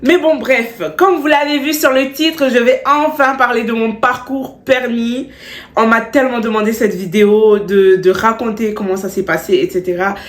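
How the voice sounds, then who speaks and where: A woman's voice: a little high, fairly even intonation, normal speed, reverberant. A young woman vlogging in a casual, slangy way, a bit stuffy from a cold, repeating words for emphasis as she gives advice. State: stuffy, still has a cold. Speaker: beauty vlogger. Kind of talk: chatty storytime vlog with advice.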